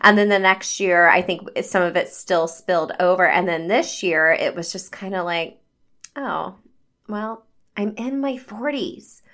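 A woman's voice: fairly low pitch, measured pace, a bit bright. A woman in her forties hosting her own podcast, talking in a chatty, upbeat way with lots of "like" and "you know.". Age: 40s. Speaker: podcast host and habit coach. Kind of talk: casual solo podcast monologue.

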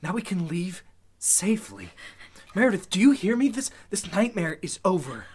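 A man's voice: sits high, very animated, normal speed, darkish tone, slightly rough, full character voice. An actor playing a scheming, injured man who puts on protective concern, urgent and persuasive, in an old-time radio play. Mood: insistent. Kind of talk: Golden Age style radio play acting.

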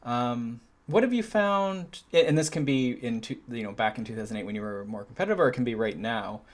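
A man's voice: mid-range voice, animated, a little fast. A man in his thirties hosting a podcast interview, chatting casually, affirming his guest and rephrasing as he goes. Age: 30s. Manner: affirming, loose phrasing with many restarts. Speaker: podcast host and endurance coach.

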